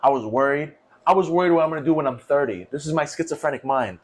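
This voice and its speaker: A man's voice: fairly high pitch, fast talker. A man in his twenties from New York co-hosting a casual podcast, blunt and profane. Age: 20s. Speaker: podcast co-host.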